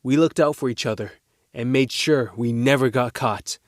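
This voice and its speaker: A man's voice: medium pitch, normal speed, no echo, studio quality, natural voice. A man playing a loyal, defensive working-class teenage boy in an audio drama, speaking earnestly and firmly. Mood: guarded, regretful.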